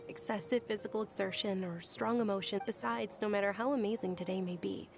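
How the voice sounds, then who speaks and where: A woman playing a gentle, resigned young noblewoman in a video game, speaking softly and politely. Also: mid-range voice, steady tone, fairly quick, creaky, music in the background, natural voice. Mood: wistful, resigned.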